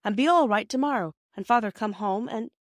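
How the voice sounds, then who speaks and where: A woman's voice: fairly high pitch, a little fast, dry recording, voice pushed up, natural voice. A woman voicing an eager, earnest British schoolboy with old-fashioned slang, pleading with his mother in a storybook. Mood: wistful, earnest. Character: eager, earnest English schoolboy, her son.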